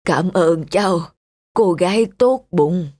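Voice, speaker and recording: Woman doing a slow, gentle, low-pitched old man. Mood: weary, grateful. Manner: slow, kindly, measured. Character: gentle, wise old male fairy in beggar guise. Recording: music under the voice.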